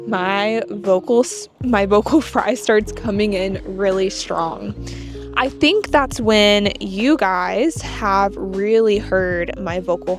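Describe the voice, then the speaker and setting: A young American woman with a light vocal fry, talking to her channel audience in a measured, explanatory way. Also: medium pitch. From United States. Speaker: channel host. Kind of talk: scripted talk to camera.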